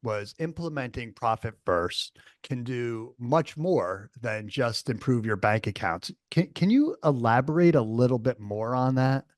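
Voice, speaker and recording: A man's voice: mid-range voice, animated, a little slow, hardly any pauses, dry recording. An enthusiastic man co-hosting a podcast and hyping up his community, with many false starts. His mid-range voice comes across dry and close. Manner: enthusiastic, hype-man, repeats words. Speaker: podcast co-host. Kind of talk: casual podcast chat.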